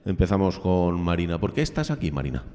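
A man's voice: low-pitched, very animated, quick pace, mellow. A middle-aged man with a Spanish accent from Spain hosting an informal radio interview in a genial, slightly ceremonious way. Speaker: host of a school radio programme. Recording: long hall-like reverb.

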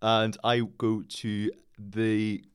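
A man's voice: lowish voice, little pitch movement, very slow, clear, resonant tone. Upbeat young Northern Irish man. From Northern Ireland. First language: English. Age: young adult. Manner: upbeat, chatty and polite. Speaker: radio guest, student teacher. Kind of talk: friendly radio interview.

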